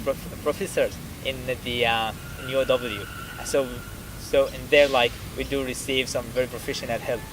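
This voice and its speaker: Young Syrian-born man, student interviewee, mid pitch, outdoor traffic noise. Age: young adult. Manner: thoughtful, many fillers and restarts. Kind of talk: interview answers. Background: street traffic.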